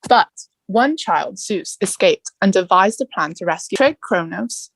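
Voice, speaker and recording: A woman plays a patient male teacher telling grand myths to a class. The delivery is theatrical and measured, in a mid-range voice, recorded close and dry. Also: mid-range voice, lively intonation, no echo, low-quality recording. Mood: dramatic lecturing. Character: male Latin teacher lecturing on Greek myths, dramatic and patient. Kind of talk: musical theatre table read, dramatic lecturing.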